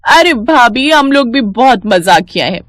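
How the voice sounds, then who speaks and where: A woman voicing a playful, demanding young sister-in-law in a brisk, teasing way for a story. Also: on the high side, lively intonation, fairly bright tone, no echo, clean studio sound.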